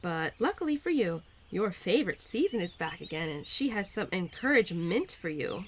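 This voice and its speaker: A woman voicing a cheerful, playful young spirit of Spring in an audio roleplay, gentle and affectionate with a giggly, easily flustered manner. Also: on the low side, big pitch swings, normal speed, slight background noise. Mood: caring, encouraging. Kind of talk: audio roleplay addressed to the listener.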